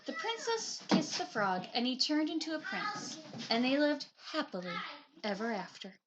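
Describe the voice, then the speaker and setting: A woman narrating a children's picture book aloud in an expressive storytelling style. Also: high voice, normal speed, lo-fi sound, noisy background. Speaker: picture book reader, teacher.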